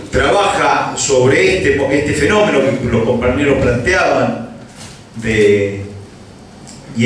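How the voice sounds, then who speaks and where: A man's voice: medium pitch, distant mic. Man musing at a panel table. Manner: musing, digressive, poetic. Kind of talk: panel discussion. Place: university hall, panel table. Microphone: handheld mic, far camera. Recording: roomy hall from afar, background noise.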